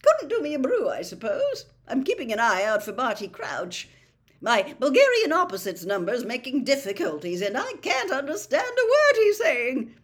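A woman's voice: high-pitched, wildly swooping pitch, normal speed, fairly bright tone, pitched above the performer's own voice, exaggerated voice. A woman voicing a jovial, boyish wizard official, a man who is eager and breezy, in an audiobook. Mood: cheerful, breezy. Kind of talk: audiobook character voice.

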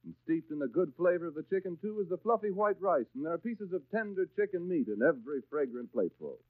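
American radio ad guy from the 30s, super animated pitch, folksy polished sales talk, tinny old recording. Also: fast talker, no room sound. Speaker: radio announcer and sponsor's pitchman. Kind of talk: 1930s radio advertising announcement. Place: radio studio. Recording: thin, band-limited 1930s radio recording.

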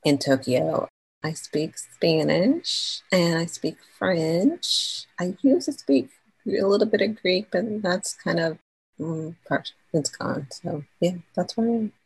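Low gravelly-voiced woman guest. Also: slow pace, low-quality recording. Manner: animated storyteller, slangy, acts out quotes. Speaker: podcast guest. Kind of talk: casual interview chat.

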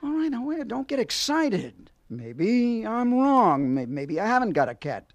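A nosy off-duty policeman in a vintage radio thriller, his voice high for a man and very animated. He talks in a plain, folksy, hesitant way, recorded dry and close. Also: measured pace, no room sound, full character voice. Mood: wary, placating. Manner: chatty, hesitant, plain-spoken. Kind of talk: old-time radio thriller acting.

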